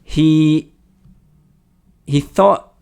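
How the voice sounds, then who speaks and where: A young man with a Hebrew accent touched by British English talks through ideas on a casual podcast. His voice sits slightly high with a gravelly edge. He often pauses and restarts as he searches for words. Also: a little mellow. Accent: Hebrew-accented English with a slight British tinge. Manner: thoughtful, hesitant, restarts often. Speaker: podcast co-host. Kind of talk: casual discussion.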